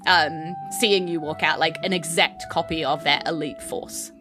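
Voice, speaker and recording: A woman with a New Zealand accent narrates a tabletop game as its game master. Her voice sits a little low, and she improvises conversationally with faint music underneath. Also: steady tone, moderate pace.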